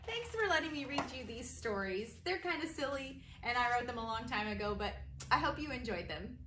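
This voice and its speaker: A woman teacher reading children's stories aloud in an expressive, sing-song way for young listeners. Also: fairly high pitch, a little fast. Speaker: kindergarten teacher reading her own stories. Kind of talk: animated children's storybook reading. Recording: roomy echo, faint background noise.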